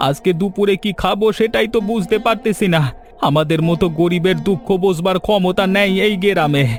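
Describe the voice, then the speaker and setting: A man plays a worried young village fisherman in a cartoon, his voice high and pleading, rough rustic speech, soft music playing behind him. He keeps asking for help with desperate earnestness. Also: brisk, close to an everyday voice. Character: poor anxious young male village fisherman. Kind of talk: cartoon voice acting.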